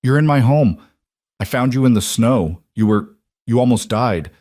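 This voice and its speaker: A man voicing a gentle male volunteer firefighter, speaking soft and soothing. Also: mid-range voice, animated, normal speed, no echo, clean studio sound, close to an everyday voice.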